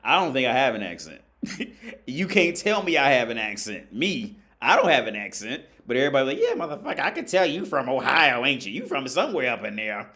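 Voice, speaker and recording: American man, casual livestream host, lively swooping delivery, swears freely and laughs a lot. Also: medium pitch, fairly quick. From United States. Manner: loose, profane, laughing, animated.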